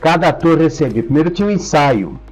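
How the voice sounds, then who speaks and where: A man's voice: a little high, big pitch swings, fast talker, a little mellow, soft background music. An older man with a Brazilian Portuguese accent, an interview guest telling and explaining memories in a casual way. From São Paulo, Brazil. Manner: explanatory storytelling. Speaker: interview guest, professor and author.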